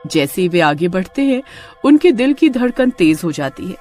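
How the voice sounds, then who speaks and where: Female story narrator, lively and dramatic in pitch movement, mid-range voice, music underneath. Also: moderate pace. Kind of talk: scripted Hindi story narration.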